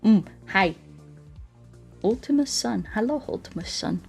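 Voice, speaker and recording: Female game streamer, unhurried delivery, mid-range voice, playful repetition, chatting to viewers over faint music. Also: mid-range voice, animated, creaky, darkish tone. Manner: playful, repeats words and phrases. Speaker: game livestream host. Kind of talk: casual livestream game commentary, chatting to viewers.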